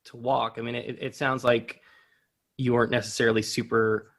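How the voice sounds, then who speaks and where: A middle-aged man hosting a casual interview, with halting, tentative, roundabout phrasing. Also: mid-range voice, little pitch movement. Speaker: podcast host, interviewer.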